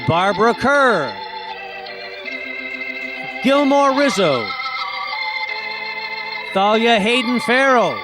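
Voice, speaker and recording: Slow, high-voiced man announcing. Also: very animated, over music. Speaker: announcer of the cast. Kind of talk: formal curtain-call announcement.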